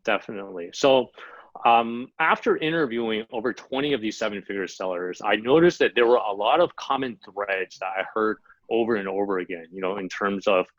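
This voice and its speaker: A low-voiced American man in his thirties talks casually as a podcast guest, leaning on "um" and "you know" as he goes. His voice comes over a dull, dry line. The tone stays relaxed and conversational. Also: brisk, no echo. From United States (Los Angeles). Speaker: guest, Amazon seller and sourcing coach. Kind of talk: podcast interview, casual storytelling.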